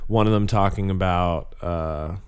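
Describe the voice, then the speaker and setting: Low voiced guy w american accent, grew up in indonesia, chatty and laughy on a podcast. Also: measured pace, clean tone. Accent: General American. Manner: animated, laughing, filler-heavy storytelling. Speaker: podcast guest. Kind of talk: casual podcast chat.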